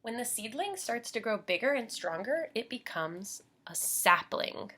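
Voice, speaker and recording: A woman's voice: a little high, sing-song, a little slow, pushed and bright, slight background noise. An American woman teaching young children as she reads a picture book aloud, explaining simply and putting questions to her listeners.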